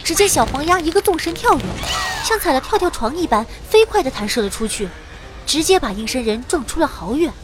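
High-voiced young heroine, narrating. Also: female voice, fairly quick. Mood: vivid narrating. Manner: vivid, expressive storytelling. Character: young heroine recounting her party's adventure. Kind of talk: dramatic first-person narration in audio drama.

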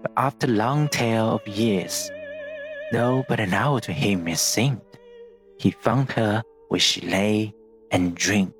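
A man's voice: on the low side, takes it slowly. A man hosting a podcast, narrating literary history from a script in a bookish, explanatory manner. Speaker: podcast host. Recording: music under the voice.

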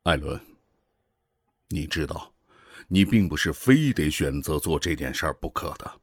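An actor playing a grave, caring older space station director in a science fiction radio drama, speaking earnestly and with caution. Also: male voice, extremely low pitch, animated, normal speed, breathy edge, no echo, clean studio sound, exaggerated voice. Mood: grave concern.

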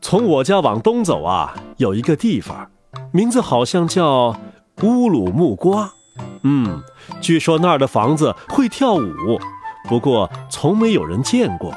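A man reads a children's fairy tale aloud, his pitch swooping wildly up and down. Clear music plays behind him throughout. His delivery is expressive and playful, made for young listeners. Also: a little slow. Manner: expressive, wide-ranging storytelling delivery. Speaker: storybook narrator.

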